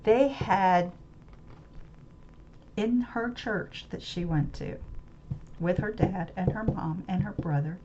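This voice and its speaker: A woman's voice: medium pitch, slow, pauses often, mellow, slight background noise. American woman host, rambling live-stream monologue, hunts for words, faint background ambience. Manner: rambling, searches for words, many restarts and fillers. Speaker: webcam channel host. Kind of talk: unscripted musing monologue about a book. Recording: slightly dull lo-fi audio with faint ambience.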